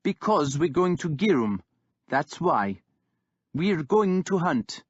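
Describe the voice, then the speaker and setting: A man's voice: on the high side, big pitch swings, slow, breathy edge, no room sound, muffled recording, voice pushed up, exaggerated voice. A man voicing a young male king who speaks in a proud, insistent way. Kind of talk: audiobook character voice.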